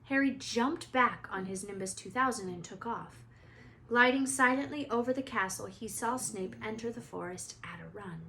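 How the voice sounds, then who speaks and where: Female storyteller for expressive read-aloud narration, mid pitch, slightly noisy home recording. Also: moderate pace.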